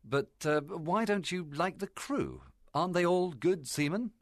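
A man voicing a calm, reasonable gentleman doctor in a storybook, asking things in a measured way. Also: high voice, no room sound, clean studio sound, voice pushed up. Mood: inquiring. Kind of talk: storybook character dialogue.

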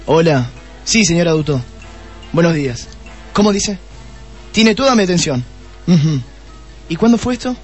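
Man acting high-voiced, probing Argentine police detective. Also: animated, slightly rough, faint music behind. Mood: focused, satisfied. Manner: deliberate, repeats answers back pointedly. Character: shrewd male police detective, testy, methodical, probing. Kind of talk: Spanish-language radio play acting.

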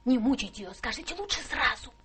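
An actress playing a timid, flustered, sentimental servant-companion in radio-drama style, husky and breathy, with a hesitant, tremulous manner. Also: female voice, high voice, sing-song, fast talker, sharp tone, heavily acted. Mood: flustered, nervous. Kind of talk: stage-trained radio drama acting.

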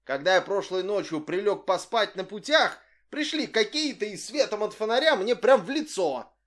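A man voicing a small, boastful old tramp who shouts and hurries everyone along. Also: high voice, big pitch swings, normal speed, voice pushed up. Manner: shouting and bragging, hurrying others on.

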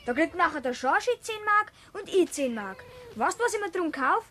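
Cheeky schoolboy played by a woman, radio play, high young voice, eager telling, Bavarian accent. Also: sing-song, moderate pace, a bit bright, a little hiss or hum, heavily acted. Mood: excited.

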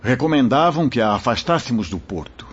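An actor playing a gentle, solemn spirit mentor in a radio drama, fatherly and reassuring, with formal, measured delivery. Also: mid-range voice, very animated, breathy edge. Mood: solemn recounting. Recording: dull lo-fi radio drama recording.

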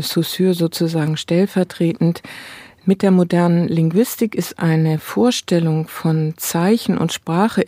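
Bright-voiced woman explaining as an academic. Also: on the low side, no echo. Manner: scholarly, explanatory, long qualified sentences. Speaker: interviewed cultural studies scholar. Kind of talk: academic interview, spontaneous explanation.

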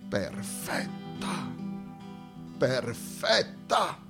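A man's voice: medium pitch, huge pitch swings, very slow, creaky. Dramatic male stage storyteller over faint guitar. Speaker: stage actor and narrator. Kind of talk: theatrical monologue storytelling.

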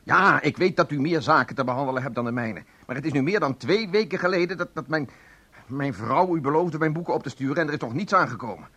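A man's voice: fairly high pitch, animated, fast talker, pushed and bright. A man playing a stiff, duty-bound official in a radio drama, formal and aggrieved. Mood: impatient, aggrieved. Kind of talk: Dutch radio drama acting. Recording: noisy old radio drama recording.

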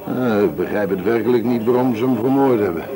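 A man's voice: fairly low pitch, brisk, natural voice. An actor playing an easygoing factory worker in a radio play, casual, hesitant and vague in his answers. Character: evasive, easygoing factory worker, café regular. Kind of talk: Dutch radio play acting. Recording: radio play with busy café chatter.

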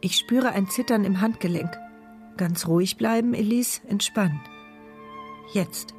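An actress playing a wry, watchful woman narrating her silent inner thoughts in a measured radio drama style. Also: mid-range voice, close to an everyday voice. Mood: wry, intent. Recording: studio radio drama with music behind.

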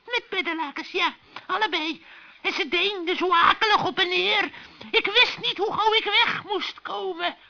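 Old female forest witch for a children's radio play, played by a man: extremely high, piercing, panicky, wheedling; dull vintage recording. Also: moderate pace, creaky, sharp tone, full character voice. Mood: flustered. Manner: panicky, pleading, stammering.

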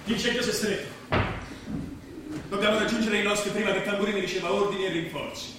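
A very echoey stage recording with faint noise. An actor plays a fiery male rebel leader in a stage drama, with a high, forceful voice. He declaims and gives orders with commanding authority. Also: fairly even intonation, fast talker, recorded from a distance, lightly acted. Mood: urgent, resolute.

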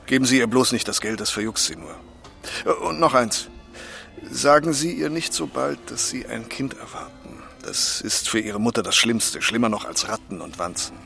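A man's voice: mid-range voice, expressive, moderate pace, gravelly, sharp tone, soft background music. An actor playing a brash, breezy, chummy man-about-town who talks bluntly and confidingly in a radio play. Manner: chatty, abrupt, confiding.

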